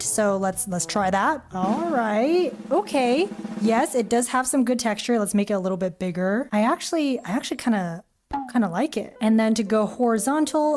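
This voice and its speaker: A woman's voice: a little high, expressive, normal speed, darkish tone, soft background music. A woman chatting through an art tutorial vlog in an upbeat, bubbly, talkative way. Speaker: art content creator.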